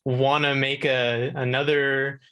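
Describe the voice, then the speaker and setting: A man's voice: mid-range voice, flat delivery, slow pace, a bit bright, clean tone. A man chatting casually as a guest on a gaming talk segment, hedging and thinking aloud as he answers. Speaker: video game contributor and guest. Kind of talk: casual chat.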